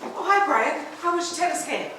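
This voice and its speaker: A woman plays an earnest, rationalizing wife in a stage play rehearsal. Her fairly high voice moves expressively through theatrical, over-reasoned phrasing. The room is very echoey and she sounds far from the microphone. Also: animated, normal speed, bright, forceful tone. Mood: breezy. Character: earnest, self-justifying wife calmly rationalizing her fantasy affair. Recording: distant, echoey room with background noise.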